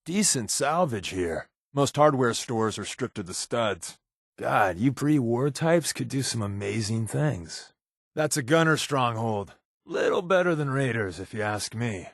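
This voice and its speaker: An American actor voicing a sly, sardonic undercover spy companion in a video game, tossing out wry, casual quips. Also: male voice, medium pitch, lively intonation, unhurried, no echo, clean studio sound. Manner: wry, sarcastic, casual quipping.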